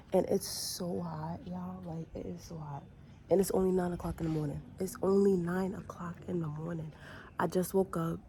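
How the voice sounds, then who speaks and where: A young woman with a raspy voice from a cold, chatting casually and bluntly to her vlog camera outdoors, full of fillers and slang. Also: fairly low pitch, dark tone, slight background noise. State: sick with a cold, runny nose. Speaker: vlogger. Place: outdoors on a hot morning with a view.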